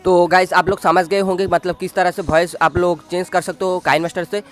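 Higher-pitched young man explaining a how-to. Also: little pitch movement, a little fast, soft background music. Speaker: tutorial host. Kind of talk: casual screen-recorded how-to narration.

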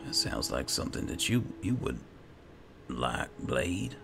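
A man doing roleplay gameplay commentary, musing to himself in a halting way. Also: rumbling low, sing-song, gravelly. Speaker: gaming host, player. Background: game music.

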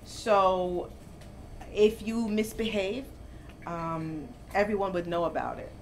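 A middle-aged woman born in Jamaica, a professor answering interview questions in a lively, storytelling way. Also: medium pitch, takes it slowly, slight background noise. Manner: animated storytelling, gets louder and laughs when amused. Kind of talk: conversational interview. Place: bookstore, seated in armchair indoors.

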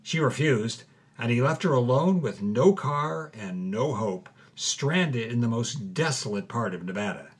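A man's voice: medium pitch, animated, a little slow, slightly rough. A man hosting a scripted podcast, narrating with dramatic emphasis and reading old documents aloud. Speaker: host.

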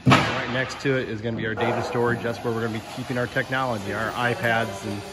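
Man narrating a walk-through amid loud site noise. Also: medium pitch, little pitch movement, fast talker. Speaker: school superintendent giving a tour. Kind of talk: unscripted walking tour narration. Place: indoor school construction site, walking. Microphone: handheld phone held by the speaker while filming. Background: loud building-site noise.

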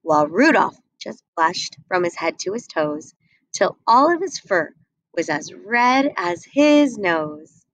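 A young adult woman reading a rhyming picture book aloud at a desk webcam, slow and expressive. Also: mid-range voice, no echo. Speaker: storybook reader. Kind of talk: read-aloud storytelling. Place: small indoor room.

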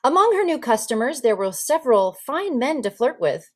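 A woman in her forties, an actor, giving an expressive literary reading on a video call. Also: high-pitched, moderate pace. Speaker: actor reading a memoir excerpt. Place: room with folding screen, indoors. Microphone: video call computer microphone.

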